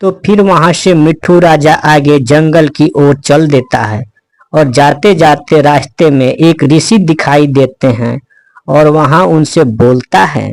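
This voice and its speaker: A man's voice: a little high, slow pace, creaky, darkish tone, dry recording. A man narrating a scripted children's animal story as the storyteller.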